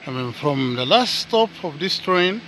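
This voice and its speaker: A man's voice: on the high side, animated, a little slow, a little hiss or hum. Chatty man vlogging on a walk outdoors. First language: not English. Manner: chatty, often addresses viewers as guys, drops to soft asides. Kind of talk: casual walking vlog. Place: outdoors on a suburban footpath.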